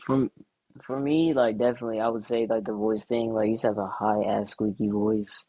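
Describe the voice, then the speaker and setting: A man's voice: on the low side, flat delivery, brisk, highs cut off. A young man in a casual podcast chat, laid-back and frank, leaning on lots of fillers. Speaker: podcast guest.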